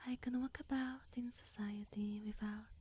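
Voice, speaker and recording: A woman playing a lonely, awkward immortal witch in an ASMR roleplay, speaking in a breathy, whispery, hesitant and coy way. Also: fairly high pitch, steady tone, normal speed, mellow, no echo. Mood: wistful confession.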